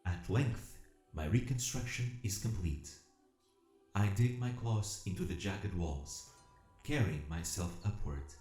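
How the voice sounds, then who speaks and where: Give this audio drama a determined, calculating robot played by a man with a low voice that moves widely in pitch. The delivery is formal, precise and earnest, narrating its own story. The sound is echoey, with music underneath. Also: darkish tone. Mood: grim resolve. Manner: measured, formal, precise diction. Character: self-rebuilt combat robot, earnest, calculating, doggedly determined.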